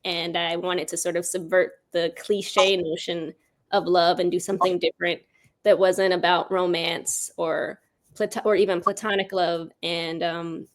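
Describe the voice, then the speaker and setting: A woman speaking as an interview guest, reflective and articulate, explaining herself in long, considered thoughts. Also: fairly low pitch. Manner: articulate, considered, elaborates at length. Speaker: writer-director interviewed as guest.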